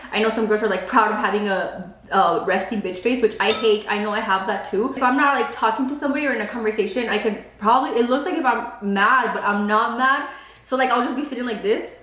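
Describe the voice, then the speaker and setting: A woman's voice: on the high side, fairly bright tone, echoey room. Chatty young woman, Spanish native speaker. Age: 20s. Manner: chatty, says "like" a lot. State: pregnant. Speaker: beauty vlogger. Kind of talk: casual chat while eating.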